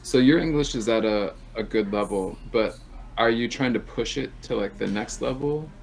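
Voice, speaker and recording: A man who speaks English natively, working as a relaxed, encouraging tutor and asking questions on a video call. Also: medium pitch, moderate pace, gravelly, rough recording. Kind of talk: casual conversation practice call.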